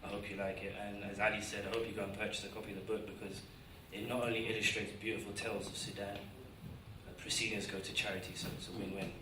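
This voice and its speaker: A man's voice: on the low side, flat delivery, fast, noisy background. A young man reading his own poem aloud at an event with a rhythmic, declamatory delivery. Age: young adult. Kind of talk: poetry reading. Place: indoor event room. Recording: roomy hall, distant mic.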